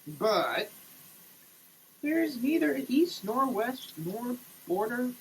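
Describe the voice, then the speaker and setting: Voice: mid-range voice, very animated, takes it slowly, a little hiss or hum, poor audio. A person giving a theatrical, declamatory dramatic reading of printed text. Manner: theatrical, declamatory, with muttered asides. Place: shower.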